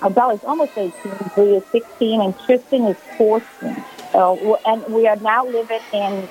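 A woman's voice: medium pitch, steady tone, moderate pace, a little mellow, low-quality recording. A woman in her forties from Brazil, a guest on a radio call-in interview, telling her story earnestly with many fillers. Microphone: phone call.

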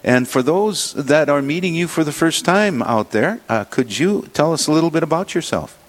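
A man hosting a religious radio show, leading prayer and introducing guests in a halting way with many fillers. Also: on the high side, lively intonation.

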